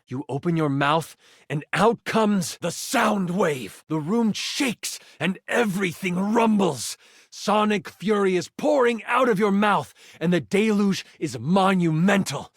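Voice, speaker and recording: A man voicing an excitable twenty-sided die in a video game, narrating a fantasy tabletop adventure as a dramatic, expressive game master. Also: on the high side, no echo, clean studio sound. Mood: dramatic, suspenseful. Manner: theatrical, expressive storytelling with sighs and asides.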